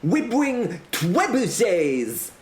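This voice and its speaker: A raging, foppish herald from a comic storybook. The voice leaps very high into a shrill shriek, haughty and insulting, with an exaggerated foreign accent and wild swoops. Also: male voice, very animated, drawn-out words, echoey room, a little hiss or hum, full character voice. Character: foppy raging male herald, shrill and hot-tempered.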